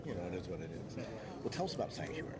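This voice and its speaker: A man's voice: low-pitched, big pitch swings, very fast. A man working as a podcast interviewer, chatting casually as he asks questions. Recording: loud crowd chatter behind. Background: busy crowd.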